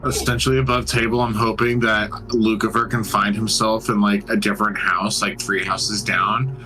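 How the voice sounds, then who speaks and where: A man's voice: lowish voice, little pitch movement, normal speed, bright, forceful tone, faint music behind, poor audio. A man playing a tabletop game, talking casually and loosely as he improvises, with some swearing.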